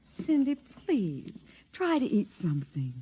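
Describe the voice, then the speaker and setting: A woman's voice: medium pitch, huge pitch swings, slow pace, mellow, slight background noise. An actress playing an anxious, protective housewife and mother, placating and tender, in 1950s radio drama style. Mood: soothing. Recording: dull vintage 1950s radio recording.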